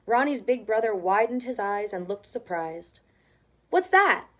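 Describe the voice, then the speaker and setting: A woman reading a picture book aloud to children as an expressive storyteller. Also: mid-range voice, moderate pace.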